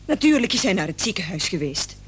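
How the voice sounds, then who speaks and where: An actress playing a determined, protective wife in a radio play, insistent and sharply reproachful. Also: female voice, medium pitch, big pitch swings, quick pace, breathy edge. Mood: indignant, accusing. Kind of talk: Dutch-language radio play acting.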